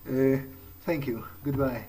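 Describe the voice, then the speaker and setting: A man's voice: mid-range voice, fairly even intonation, slow pace, warm tone, slight background noise, poor audio. A man roleplaying in character as he plays a video game, musing to himself in a hesitant, conversational way. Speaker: let's player. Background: game soundtrack music.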